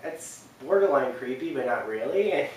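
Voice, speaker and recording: Young guy doing halting casual commentary, super echoey room, faint noise. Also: medium pitch, animated, distant mic. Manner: rambling, halting, backtracks often. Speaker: video host. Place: wood-walled room indoors, on a couch. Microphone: camera mic across the room.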